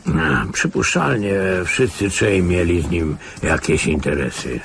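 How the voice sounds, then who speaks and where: A man's voice: deep voice, very animated, measured pace, noisy background, heavily acted. A man playing a world-weary, cynical old retired security officer in a radio play, sardonic and patronising. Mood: recounting, sardonic. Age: older. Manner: sardonic, patronising, chuckling asides. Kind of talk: Polish radio play acting.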